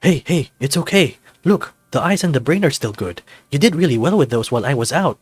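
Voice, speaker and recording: A man's voice: fairly high pitch, animated, a little fast, breathy edge, no echo, pitched above the performer's own voice. A man voicing an easygoing husband in a visual novel, soothing and casually upbeat as he reassures his partner. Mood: comforting.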